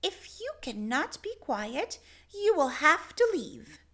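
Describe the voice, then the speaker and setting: A woman's voice: sits high, sing-song, slow, pushed and bright, pitched above the performer's own voice, heavily acted. A woman voicing a stern, commanding but fair female head librarian in a children's storybook.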